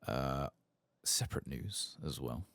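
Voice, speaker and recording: A man giving casual, rambling, wry and sweary soft-spoken ASMR commentary on a game, close to the mic. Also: a bass voice, animated, slow pace, creaky, no room sound. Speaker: host of a game playthrough channel.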